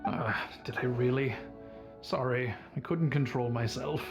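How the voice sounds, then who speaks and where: A man's voice: medium pitch, unhurried, natural voice. Man playing a gushing old tavern regular over music. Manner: polite, theatrically flattering. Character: good-natured old tavern drinker, gushing admirer. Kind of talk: anime game voice acting. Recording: game music behind the voice.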